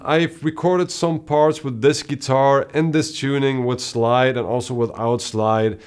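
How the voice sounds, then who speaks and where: A man with a deep voice, a guitarist and channel host, explaining his guitar setup in a steady, casual way with occasional filler sounds. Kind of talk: casual gear talk to camera.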